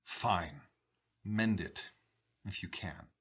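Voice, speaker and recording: An actor playing an irritable, imperious, proud sultan in a radio drama, with a pompous, blustering manner. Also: male voice, low voice, very slow, a bit bright, slightly rough, full character voice.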